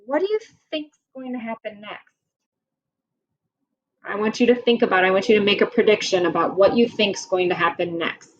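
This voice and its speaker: A woman's voice: medium pitch, muffled recording. A woman teacher reading a picture book aloud to children and stopping to ask them questions in a patient way. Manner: slow, patient, asks questions to young listeners. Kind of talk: read-aloud story with class questions.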